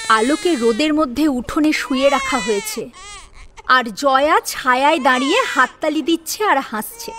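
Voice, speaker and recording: A woman narrating a dramatic story in an expressive storytelling manner. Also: high voice, background noise.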